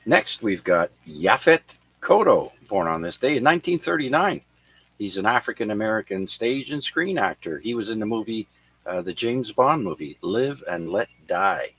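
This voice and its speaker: Man host on a ham radio net, lowish voice with lively inflection, halting read with fillers, dry sound. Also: slightly rough, no echo. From Canada. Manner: halting reading with many "uh" fillers. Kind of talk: reading birthday and history notes on a ham radio net. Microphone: radio transceiver microphone.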